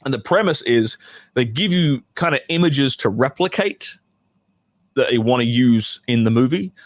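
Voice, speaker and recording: A man's voice: mid-range voice, no room sound. A man who performs in adult films hosting a casual livestream, talking to his chat in a rambling, profane, joking way.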